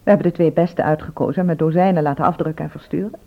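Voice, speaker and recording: An actress playing a frank, sharp-tongued, wry woman shopkeeper in a radio drama. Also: low voice, fairly even intonation, very fast, vocal fry. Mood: frank, concerned. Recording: thin phone-like old radio recording.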